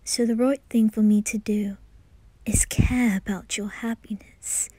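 Woman as a wistful young nobleman, slow halting delivery, frequent whispers, bright tone, dry close sound, ASMR roleplay. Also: mid-range voice, steady tone, dry recording, close to an everyday voice, poor audio. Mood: tender, resigned. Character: wistful, lovesick aristocratic young man, former orphan. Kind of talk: ASMR roleplay, acted monologue.

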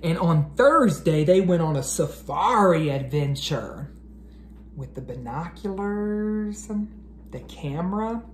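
An American man in his thirties, an educator doing an expressive, carefully enunciated read-aloud for children. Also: fairly high pitch, takes it slowly. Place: living room at home, indoors. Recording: roomy echo, clear background noise.

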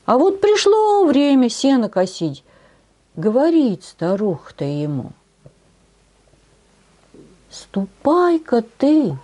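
A woman in her sixties telling a folk tale to children in an expressive, grandmotherly manner with drawn-out phrases. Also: on the high side. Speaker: storyteller for children. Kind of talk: folk tale storytelling to camera. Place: small decorated indoor room.

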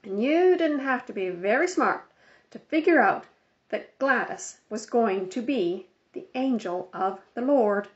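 A woman reading a children's book aloud as an expressive storyteller, on a slightly dull recording. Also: on the high side, a little slow. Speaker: audiobook reader.

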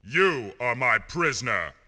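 A man voicing a menacing demon lord villain in a cartoon game, with a gravelly voice. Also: mid-range voice, very animated, a little slow, pushed and bright, exaggerated voice. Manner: threatening, commanding.

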